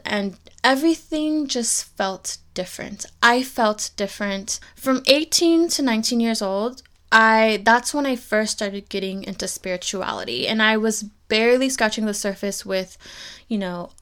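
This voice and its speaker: A woman's voice: on the high side, clean tone. A woman in her twenties hosting a podcast, telling a personal story in a candid, reflective way and speaking to her listeners directly. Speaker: podcast host.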